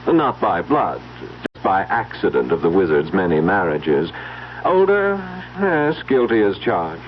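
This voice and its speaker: An actor playing a sardonic, brooding older stepbrother with a wry, theatrical manner in a radio drama. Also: male voice, low-pitched, very animated, measured pace. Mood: wry, wistful. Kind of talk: 1970s radio drama acting. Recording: dull lo-fi old radio broadcast, faint noise.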